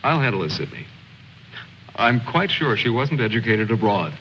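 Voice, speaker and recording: A composed army lieutenant from an old war film, giving orders with articulate, condescending authority. His pitch moves expressively, and the soundtrack is dull with clear background noise. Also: sing-song, normal speed. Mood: stern, impatient. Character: composed, authoritative male army lieutenant leading stranded soldiers.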